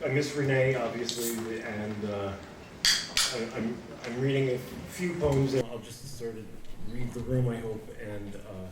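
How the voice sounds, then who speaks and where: A man's voice: medium pitch, unhurried. A man reading poems aloud at a live event, with informal asides between them. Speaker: poetry reader. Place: indoor bookshop event in front of audience. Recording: echoey, noisy room, distant microphone.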